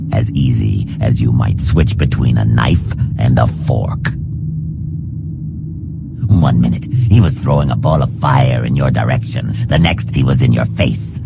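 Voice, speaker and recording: A man voicing a hard, proud reptilian assassin trainer in a fantasy game, gravelly and growling, speaking in a measured, formal, stern manner. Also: rumbling low, exaggerated voice. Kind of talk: fantasy game character dialogue.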